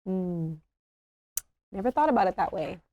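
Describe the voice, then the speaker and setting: A woman's voice: medium pitch, animated, moderate pace, dark tone, muffled recording, close to an everyday voice. A woman at a comedy table read playing a hesitant, wistful daughter in her early twenties. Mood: hesitant, low-key. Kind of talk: table reading of a comedy script.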